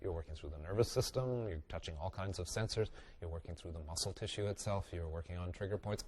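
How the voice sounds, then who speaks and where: A man with a slightly congested, nasal voice from a cold, teaching a bodywork technique in a relaxed, musing webinar style with wry asides. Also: deep voice, fast. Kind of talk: instructional webinar talk.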